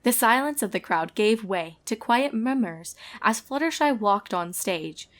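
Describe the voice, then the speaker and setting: A woman's voice: on the high side, lively intonation, a little slow, a bit bright. A woman reading a fan fiction story aloud as narrator, in a scripted storytelling style.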